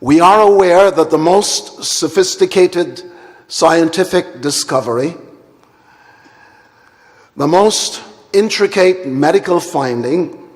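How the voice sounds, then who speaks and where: Slow, formal man in a hall. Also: on the high side. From Guyana. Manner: measured, deliberate and formal, with long pauses. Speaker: conference speaker. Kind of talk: formal plenary address. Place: large conference hall. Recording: hall reverb.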